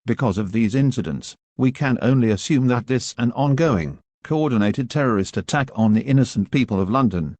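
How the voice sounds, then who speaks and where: Male announcer, very dry close sound, formal and measured, delivering scripted emergency bulletins. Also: mid-range voice, lively intonation, a little mellow, dry recording.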